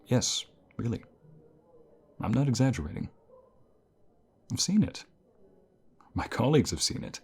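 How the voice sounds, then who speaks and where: A man playing a caring university professor in an ASMR roleplay, speaking in a calm, reassuring and gentle manner. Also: mid-range voice, a little slow, vocal fry, long pauses, breathy edge, full character voice. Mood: caring, encouraging. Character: caring male university professor comforting a burnt-out student. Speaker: voice actor.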